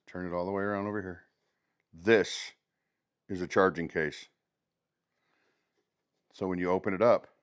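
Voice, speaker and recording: A man talking casually and conversationally as a product reviewer, showing items step by step in an unboxing video. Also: fairly low pitch.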